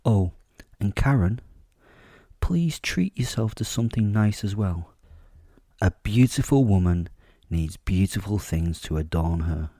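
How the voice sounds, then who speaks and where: A man playing a suave, vain werewolf killer who narrates a horror audio drama in a measured, smug, darkly humorous way. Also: lowish voice, animated, mellow, no room sound, clean studio sound. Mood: seductive.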